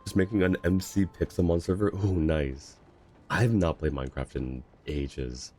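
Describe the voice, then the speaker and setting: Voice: low-pitched, normal speed, warm tone, slightly rough, soft background music. An easygoing livestreamer chatting casually while reacting to a game and viewers. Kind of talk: casual game stream chat.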